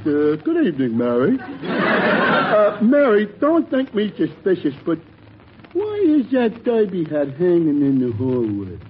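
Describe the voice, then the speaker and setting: Slow, high-voiced gullible husband. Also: male voice, huge pitch swings, a faint crowd, exaggerated voice. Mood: timid, suspicious. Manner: hesitant, halting. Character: meek, gullible, slow-witted husband in parody soap opera. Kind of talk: 1940s radio comedy acting. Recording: dull old radio recording, faint noise.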